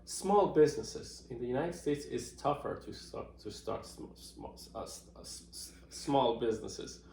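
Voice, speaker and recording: A middle-aged man from Iran answering interview questions in a thoughtful, unhurried way, with many fillers and restarts. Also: medium pitch, animated, gravelly, darkish tone. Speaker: interviewee, marketing professional. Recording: roomy echoey room.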